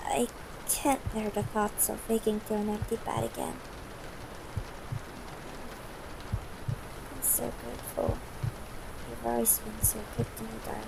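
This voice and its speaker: Slow, creaky-voiced possessive CEO ex-girlfriend played by a woman. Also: on the high side. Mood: sleepy, loving. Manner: soft-spoken, hesitant, formal wording. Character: wealthy possessive female CEO ex-girlfriend, tender, yearning, gently commanding. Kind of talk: intimate ASMR roleplay, scripted. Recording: noisy broken-microphone home recording.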